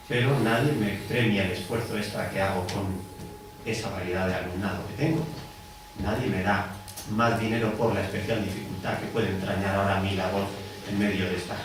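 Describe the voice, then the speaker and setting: A man's voice: fairly low pitch, steady tone, brisk. Spanish guy from madrid area talking at a public meeting, explaining stuff casually, super echoey room, noisy. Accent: central Spanish. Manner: colloquial, explanatory, with rhetorical questions and check-in tags. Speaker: teacher and union delegate. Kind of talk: public talk at a community meeting. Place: indoor hall at a public event. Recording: very echoey hall, distant mic, noisy.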